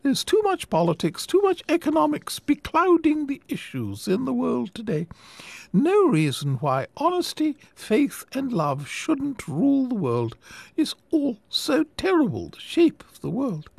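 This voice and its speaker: Male reader voicing a sugary, preachy elderly lady; high, swooping, prim. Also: huge pitch swings, measured pace, gravelly, dry recording, heavily acted. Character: preachy sweet old woman campaigner. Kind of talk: reading a memoir aloud.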